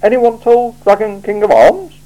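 A blunt, wry male watch commander, as a man reading aloud puts him on. His short deadpan replies land with emphasis, in a mellow voice that swings widely in pitch. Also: normal speed, breathy edge, poor audio. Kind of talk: audiobook character voice.

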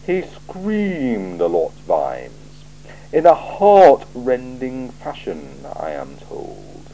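A cool, sardonic male city ruler, put on by a man reading aloud. He speaks slowly and drily, weighing each word, in a mellow tone that rises and falls widely. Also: medium pitch, huge pitch swings, voice pushed up, full character voice, poor audio. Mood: dry. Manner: slow, measured, dry and probing. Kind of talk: audiobook character voice.

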